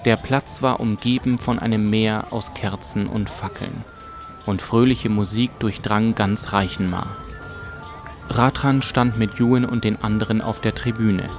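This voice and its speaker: A man with a fairly low voice narrates a fantasy tale. It is scripted audiobook storytelling, with clear music playing behind him. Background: music and ambience.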